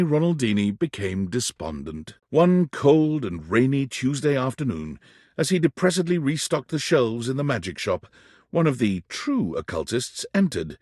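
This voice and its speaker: Lively, husky dramatic game narrator. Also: male voice, mid-range voice, no echo, clean studio sound. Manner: dramatic, deliberate storyteller. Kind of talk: scripted storybook-like game narration.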